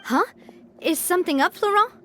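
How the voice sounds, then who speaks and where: A woman's voice: extremely high pitch, normal speed, sharp tone, a little hiss or hum. An actress voicing a kind, responsible young woman who leads a close-knit band in a video game. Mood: worried, decisive. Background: faint music.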